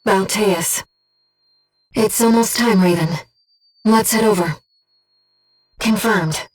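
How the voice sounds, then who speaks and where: A woman voicing a gentle, disembodied young female companion in a video game, giving a mercenary pilot composed, earnest and precise tactical reports in a soft, breathy voice. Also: mid-range voice, far from the mic, close to an everyday voice, low-quality recording. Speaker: mission operator companion.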